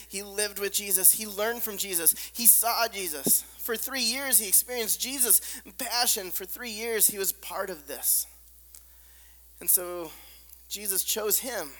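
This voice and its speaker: A man with a squeaky voice preaching a sermon, hammering points through repetition and dropping to soft, hushed lines. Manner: emphatic repetition, drops to soft near-whispers. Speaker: pastor. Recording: long reverb of a large hall.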